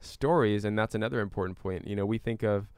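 A man with a fairly deep, clean and resonant voice answers interview questions conversationally. He explains things in an earnest, measured way, and the sound is close and dry. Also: clean tone, no echo. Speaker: interview guest, climate activist and scientist.

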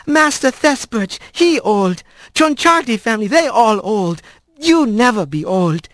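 A man's voice: very high-pitched, very animated, measured pace, fairly bright tone, no room sound, studio quality, voice pushed up, full character voice. A man voicing an old female squirrel grandmother who speaks in short, clipped, broken sentences and ends her questions with "yes".

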